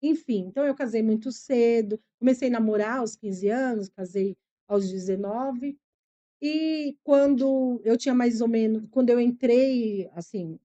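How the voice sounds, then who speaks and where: A woman's voice: fairly high pitch, a little slow, mellow, highs cut off. A middle-aged woman with a São Paulo Brazilian accent, a psychologist guest on a podcast, speaking in an earnest, reflective way and explaining things patiently. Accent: São Paulo Brazilian Portuguese. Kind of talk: podcast interview, personal storytelling.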